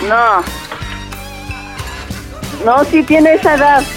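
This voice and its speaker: A middle-aged woman talks on a phone call without any script. Her voice sits very high for a woman. Music is clearly audible behind her. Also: drawn-out words. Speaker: prank call target. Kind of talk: unscripted phone conversation.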